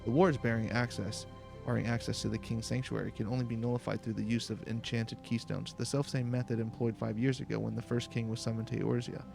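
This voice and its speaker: A solemn, courteous elder from a fantasy game, read out by a man. The speech is formal and measured, in a mid-range voice with some gravel. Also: medium pitch, level tone, over music. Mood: grave.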